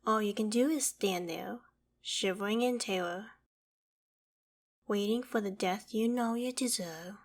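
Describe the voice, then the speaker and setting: A woman playing an ancient, haughty dragon in a theatrical ASMR roleplay, with deliberate, imperious phrasing. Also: medium pitch. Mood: menacing, gloating. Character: ancient female dragon, haughty, menacing, curious about humans. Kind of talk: ASMR roleplay, dramatic monologue to the listener.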